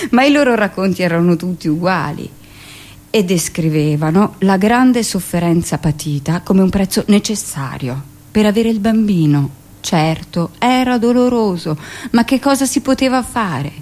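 A woman's voice: mid-range voice, lively intonation, darkish tone, close to an everyday voice. A woman playing a brusque, gossipy middle-aged hospital midwife who tells her memories in a theatrical, conversational radio monologue. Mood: confiding, reflective. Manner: conversational, dramatic pauses, shifts into mimicked speech. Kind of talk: theatrical first-person monologue, confiding storytelling.

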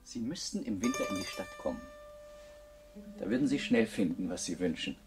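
Deep-voiced, brooding lovesick man. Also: animated, moderate pace. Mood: earnest. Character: brooding, lovesick man, awkward, wavering, poetic. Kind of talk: German radio play acting. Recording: guitar music behind the voice.

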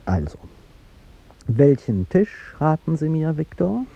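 An actor playing an ageing, courtly president in a 1950s radio drama, rhetorical and wistful, with a husky, creaky, dark voice. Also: male voice, a little high, big pitch swings, takes it slowly, full character voice. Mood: curious. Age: older. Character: ageing male president, courteous, wistful, grandiloquent café guest. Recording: muffled old 1950s radio recording.

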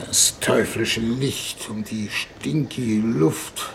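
An actor playing a weary, haughty male foreign minister on a mission, deliberate and formal, in 1950s German radio drama style. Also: medium pitch, vocal fry. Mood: weary, dismayed. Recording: 1950s radio recording, background noise.

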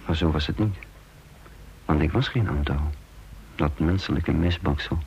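An actor playing a brooding, guarded undercover patriot who narrates his own story in a deliberate, confiding Dutch radio drama style. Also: rumbling low, a little mellow, slightly rough. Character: brooding undercover patriot man, self-reproaching, tense and guarded. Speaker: narrator and lead character of a radio serial. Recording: dull, noisy old radio recording.

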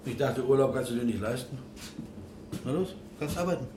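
An actor playing a sceptical, concerned bar owner, speaking tersely and probingly in naturalistic radio drama. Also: male voice, mid-range voice, lively intonation, brisk, lightly acted. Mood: concerned, firm. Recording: echoey, distant, noisy location sound.

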